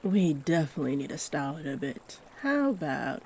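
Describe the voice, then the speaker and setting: A woman voicing a kindly fairy in a fantasy roleplay, gently encouraging and fussing over the listener with sweet endearments. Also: sits low, very animated, fairly quick, a little hiss or hum. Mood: thoughtful, fussing. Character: kindly, doting fairy who gives magical makeovers. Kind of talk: fantasy roleplay audio drama, addressing the listener.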